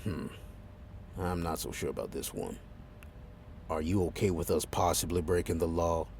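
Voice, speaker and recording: A man plays a reserved agent in an audio drama with a deep voice. The delivery is sober, terse and cautious, over a faint rumbling noise. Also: moderate pace, gravelly, darkish tone. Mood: wary.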